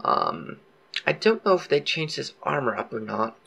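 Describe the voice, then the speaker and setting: A man's voice: mid-range voice, big pitch swings, normal speed, gravelly, sharp tone, breathy edge. Man with a cold chatting about tanks. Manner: rambling, frequent ums and self-corrections. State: has a cold. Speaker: gaming video host. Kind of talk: casual game commentary.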